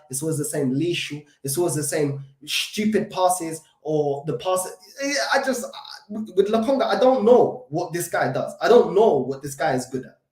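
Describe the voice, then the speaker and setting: A man's voice: on the high side, a little mellow. A man with a London accent hosts a solo football fan reaction. He is recovering from an illness, so his voice is not at full strength, and he talks emphatically, with fillers and repeated restarts. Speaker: football fan channel host. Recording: echoey room.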